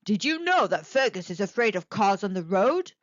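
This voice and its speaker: Woman as a kindly, lively storybook owl. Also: medium pitch, moderate pace, dry recording, lo-fi sound, natural voice. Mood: reassuring. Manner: encouraging, explanatory. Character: kind, reassuring male tawny owl. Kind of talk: children's storybook character voice.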